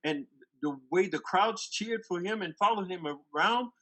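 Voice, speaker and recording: A man playing an excitable, incredulous biblical disciple in a church dramatic reading, agitated, stammering and insistent. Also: sits high, normal speed, rough recording.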